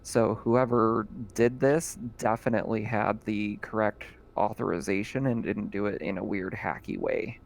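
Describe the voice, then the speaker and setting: A man narrating as game master in a relaxed, chatty way. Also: lowish voice, moderate pace, creaky, few pauses, a little hiss or hum.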